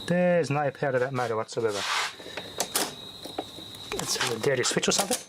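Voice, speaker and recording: A man's voice: mid-range voice, animated, moderate pace, very noisy. A man giving casual, off-the-cuff repair commentary as a hobbyist tinkerer, muttering to himself in short fragments as he thinks aloud.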